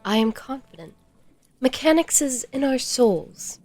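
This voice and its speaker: A woman playing a serene, literal-minded clockwork being in a fantasy audio drama, speaking in a measured, formal, matter-of-fact way. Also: high-pitched, animated, a little mellow, soft background music.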